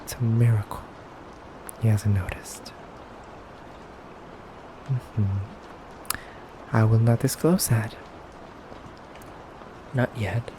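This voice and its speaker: Guy doing a pretty effeminate vampire captor for asmr, real slow and soft, polite but menacing, noisy background. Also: medium pitch, natural voice. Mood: measured, secretive. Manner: soft-spoken, slow, deliberate, pausing often. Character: beautiful, effeminate male vampire captor, courteous yet menacing. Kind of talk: ASMR roleplay.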